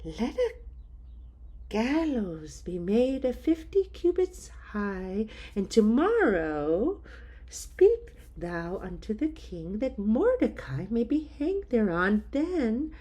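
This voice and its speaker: Scheming wife, voiced by a woman reader, insistent, measured, mellow voice. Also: a little high, very animated, breathy edge, full character voice.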